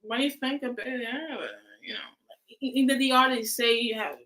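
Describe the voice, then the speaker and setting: Woman, host, casual chat, laughs a lot, high clear bright voice. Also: fairly even intonation, normal speed, rough recording. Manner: chatty, laughs often, restarts words.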